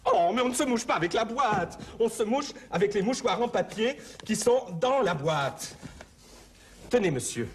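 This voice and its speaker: An actor playing a polite, helpful pharmacist with a fussy streak, explaining and correcting clearly in a comedy sketch for learners. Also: mid-range voice, lively intonation, moderate pace, slight background noise, close to an everyday voice.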